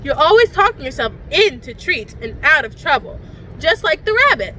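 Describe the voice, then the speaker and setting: Bright, high-pitched woman co-host. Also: very animated, moderate pace, a little hiss or hum, low-quality recording. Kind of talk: children's educational presenting.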